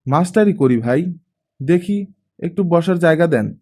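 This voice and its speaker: Man acting friendly male teacher, easygoing, teasing storyteller, animated, raised pitch, audiobook drama. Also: a little slow.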